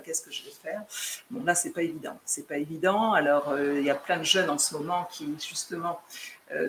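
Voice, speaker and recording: A woman's voice: deep voice, slight background noise. A woman from France chatting on a live stream with her viewers, talking hesitantly with many fillers and restarts.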